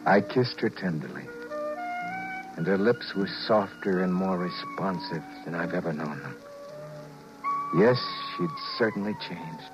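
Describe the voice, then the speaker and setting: A man's voice: low-pitched, expressive, unhurried, pauses often, over music. A man acting a flustered, guilty husband who stammers and narrates his story in a 1950s radio drama. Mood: confiding narration. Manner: stammering, nervous, confiding narration. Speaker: actor playing George, narrator and lead. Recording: dull vintage 1950s radio broadcast.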